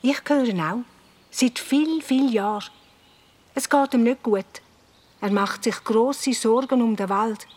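A woman's voice: fairly high pitch, a little slow, darkish tone, close to an everyday voice. A woman playing a kindly, caring figure in a children's radio play, reassuring, with a Swiss German accent. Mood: reassuring, concerned. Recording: echoey chapel-like reverberation.

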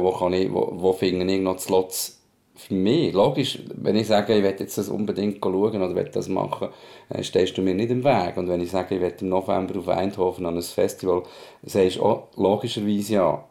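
A man's voice: deep voice, steady tone, normal speed, creaky, warm tone. A man with a Swiss German accent, a co-host, speaking casually in conversation. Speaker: co-host and father.

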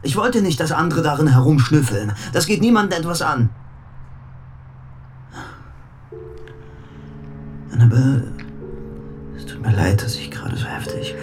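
A man's voice: fairly high pitch, big pitch swings, brisk, faint music behind. An actor playing an obsessive, lovesick young man in a radio play, intense, moving between whispers and outbursts. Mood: agitated. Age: young adult.

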